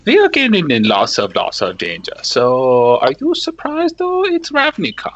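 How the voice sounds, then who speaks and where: Male role-play gamer, bossy formal phrasing, highly animated swings in pitch. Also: normal speed, dull audio. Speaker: tabletop game player. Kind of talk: in-character casual role-play.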